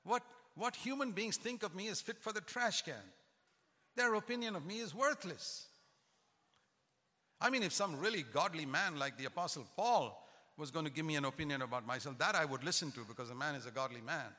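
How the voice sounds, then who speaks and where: A man's voice: a little high, a little fast, sharp tone, big-room sound. A man who is a pastor, preaching a sermon with emphatic, repetitive cadence.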